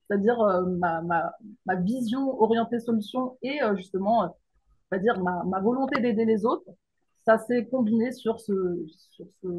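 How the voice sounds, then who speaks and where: A woman's voice: medium pitch, darkish tone, slightly rough, poor audio. A middle-aged woman from the Paris region, a guest coach, talking thoughtfully with many fillers and restarts. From France, Paris region. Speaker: guest, mindset coach and teacher. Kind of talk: remote interview conversation.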